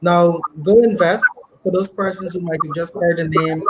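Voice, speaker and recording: A man's voice: high-pitched, steady tone, moderate pace. A man hosting a live interview, polite and hesitant, with many fillers. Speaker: interview host. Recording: noisy live stream.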